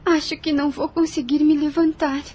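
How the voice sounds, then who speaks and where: A woman playing a gentle, polite, earnest young heiress in an audio drama. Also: high-pitched, flat delivery, fairly quick, lightly acted. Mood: faint.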